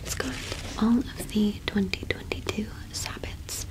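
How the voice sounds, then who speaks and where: A woman in her twenties whispers in a soft ASMR roleplay as a friendly cottage witch. Her pitch is average for a woman, and she takes her time, with small hesitant pauses. The delivery is intimate and close to the microphone. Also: steady tone, rough recording. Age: 20s. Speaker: ASMR performer playing a cottage witch shopkeeper.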